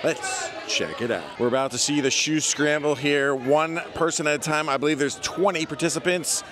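A man's voice: on the high side, normal speed. A man hosting a casual vlog at an outdoor school event, chatty and upbeat, cheering the kids on. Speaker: vlog host. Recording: outdoor crowd noise with music behind. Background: crowd of kids and music.

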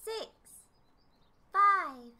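A woman voicing a young girl playing hide and seek, calling out loudly in a singsong manner. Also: very high-pitched, lively intonation, drawn-out words, voice pushed up, full character voice. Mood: playful, calling out.

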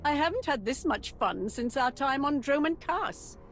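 A gleeful, ambitious Sith officer, animated delivery, game score behind. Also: female voice, high voice, normal speed, a little hiss or hum. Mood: scheming. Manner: relishing, scheming. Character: ambitious bloodthirsty female Sith officer, scheming. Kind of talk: video game voice acting. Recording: game audio with music score.

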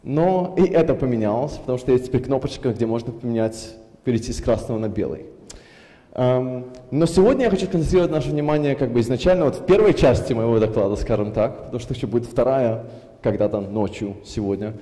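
A man in his thirties from Belarus, with an unusual accent from years in Germany, giving a lively conference talk on a headset mic with lots of fillers. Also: medium pitch, quick pace. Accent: mixed, from Belarus and years in Germany. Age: 30s. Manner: lively, gestures a lot, many fillers. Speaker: conference speaker. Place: large conference hall with audience. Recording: reverberant hall.

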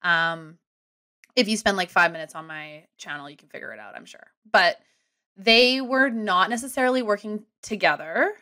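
A woman in her thirties telling a long story casually on a podcast from a small home room, animated and rambling. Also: medium pitch, normal speed. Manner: animated, rambling, self-deprecating. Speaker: guest comedian and ski content creator. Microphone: studio mic close up with headphones.

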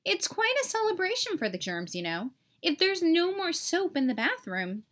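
High, animated woman reading to children. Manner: animated, deliberate, dramatic emphasis. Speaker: teacher reading a storybook aloud. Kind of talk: expressive children's read-aloud.

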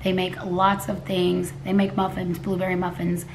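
Hoarse woman in her forties, lowish voice, chatty home vlogger at her table, faint hiss behind. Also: monotone, normal speed. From Texas, USA. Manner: chatty and rambling, often restarts, coughs and clears throat. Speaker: cooking vlogger. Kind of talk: casual vlog talk to camera. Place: living room at home, indoors. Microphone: camera a few feet away at a table.

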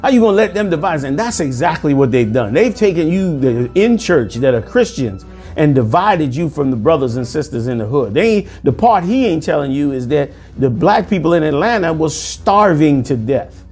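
A man in his forties preaching commentary over news clips, forceful and sermonizing, calling listeners "man", recorded indoors on a lapel mic. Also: fairly high pitch, big pitch swings, normal speed, faint music behind. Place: indoor room.

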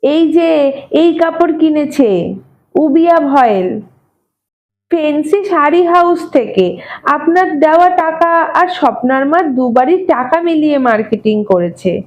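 A woman's voice: high-pitched, animated, slow, darkish tone, muffled recording, pitched above the performer's own voice, full character voice. A woman voicing a chatty young servant girl, eagerly showing off.

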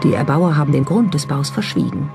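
A narrator delivers scripted documentary narration in a medium-pitched voice. The studio voice-over sits over clearly audible music. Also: a little fast.

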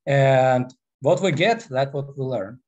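A man in his forties from Armenia with an Armenian accent, giving an online presentation on a headset mic in an unhurried, halting way full of fillers. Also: medium pitch, darkish tone, dull audio. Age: 40s. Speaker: guest presenter. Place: small room, indoors. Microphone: headset microphone.

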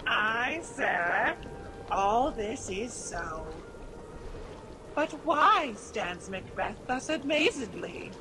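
Eerie cackling witch, a woman acting it, high and drawn out, hissy remote mic. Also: very animated, very slow, heavily acted. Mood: mocking. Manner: chanting, eerie, theatrical. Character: cackling witch, one of the weird sisters. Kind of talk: amateur radio drama.

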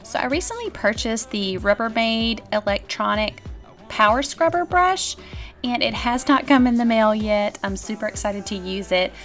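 A woman in her thirties giving a cheerful, chatty voice-over for her cleaning vlog and talking straight to her viewers. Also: medium pitch. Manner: upbeat and chatty, addressing viewers directly. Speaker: cleaning vlogger. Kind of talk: casual voice-over narration.

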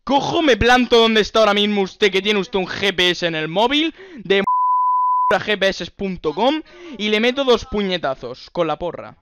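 A man's voice: high voice, expressive, normal speed, noisy background. A man voicing an officious, short-tempered Basque police officer in an improvised phone prank, insistent and easily exasperated. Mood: irritated, threatening. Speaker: prank caller.

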